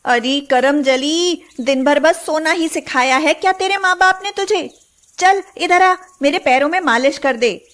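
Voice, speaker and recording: A woman voicing a cruel, domineering mother-in-law in a cartoon story, nagging and sarcastic with drawn-out calls. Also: high-pitched, fairly bright tone. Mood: scolding. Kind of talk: cartoon moral-story voice acting.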